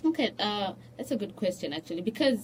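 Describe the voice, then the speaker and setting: A young woman from Zimbabwe who is a musician, chatting as a guest in a radio studio and telling stories with restarts and soft laughs. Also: mid-range voice, very animated, fast talker, slight background noise. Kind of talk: casual radio interview chat.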